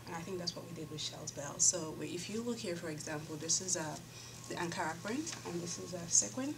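A woman's voice: lowish voice, a little fast, gravelly. A woman in her thirties, a fashion designer answering interview questions thoughtfully, with many fillers and restarts, seated in a showroom. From Nigeria. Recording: distant mic, loud background hiss.

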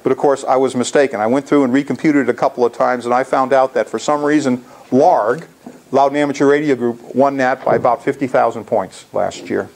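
A man in his sixties with a gravelly voice, giving an informal slide presentation to a club. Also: medium pitch, fairly even intonation, fairly quick, darkish tone. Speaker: amateur radio club presenter. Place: indoor lecture room.

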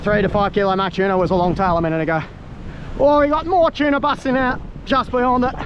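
A young man giving excited running commentary while fighting a fish on sea rocks, straining as he goes, recorded on a head-mounted camera. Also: high-pitched, fairly quick, muffled recording. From Australia. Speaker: fishing vlogger. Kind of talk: live commentary while fishing. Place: outdoor sea rocks by crashing surf. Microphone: head-mounted action camera. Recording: wind and surf noise on camera mic.

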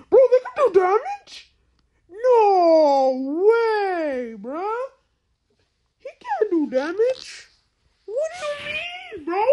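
High-pitched voice-changed game commentator, female-sounding. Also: darkish tone. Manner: exclamatory, incredulous, repetitive, slangy. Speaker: gaming video host. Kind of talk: casual gameplay commentary.

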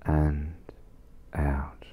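Very deep, slow, breathy man. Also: monotone. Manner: soft, soothing, breathy, with long pauses. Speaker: guided meditation host. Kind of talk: ASMR guided meditation.

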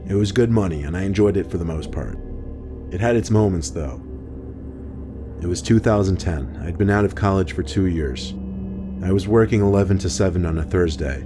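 Deep-voiced man narrating horror tales. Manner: calm, measured storytelling delivery. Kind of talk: scripted first-person narration. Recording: music bed underneath.

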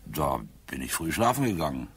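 An actor playing a guarded, evasive bar pianist with a husky voice in a radio crime drama. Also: male voice, very deep voice, very animated, moderate pace, fairly bright tone, full character voice. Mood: uneasy, evasive. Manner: guarded, hesitant under pressure. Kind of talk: German crime radio play acting.

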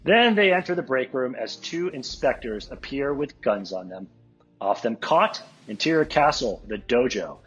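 A man's voice: medium pitch, expressive, normal speed, fairly bright tone, husky, highs cut off, faint music behind. A man narrating a script, reading out the stage directions at a table read.

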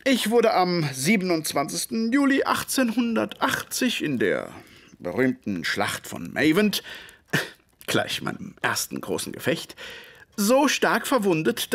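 A man's voice: high-pitched, wildly swooping pitch, measured pace, a bit bright, no echo, exaggerated voice. An actor playing a polite male ex-army doctor who tells his story in an audio drama. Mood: polite, guarded. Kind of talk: first-person scripted dramatic narration.

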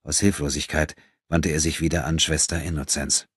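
A man narrates a novel for an audiobook. His voice is deep and husky, with a very dry sound free of room echo. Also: flat delivery, normal speed. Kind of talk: scripted literary narration.

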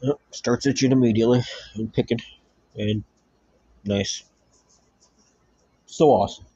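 A man's voice: medium pitch, fairly even intonation, measured pace, mellow, breathy edge. Host, male, stuffy nose, sarcastic asides, dull webcam audio. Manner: sarcastic, mocking interjections. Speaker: reaction channel host. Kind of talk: casual commentary over a played clip. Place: dim small room indoors. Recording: dull lo-fi sound.

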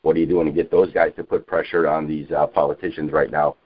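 Male activist, very low voice, rambling and insistent political talk, narrowband dry phone audio. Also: flat delivery, no echo. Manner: halting, repetitive, insistent, peppered with you know. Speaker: civilian activist on a group conference call. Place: telephone conference call. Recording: muffled narrowband phone conference line.

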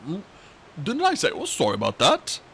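A man's voice: on the high side, huge pitch swings, normal speed, a bit bright, slight background noise, pitched above the performer's own voice, full character voice. A man voicing a sleepy, laid-back young male dragon who talks in a mild, drowsy mumble.

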